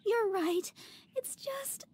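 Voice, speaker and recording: A woman voicing a sweet, gentle young idol in a game cutscene, soft and high-voiced, timid and stammering. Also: animated, slow pace, faint music behind, full character voice. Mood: steadied.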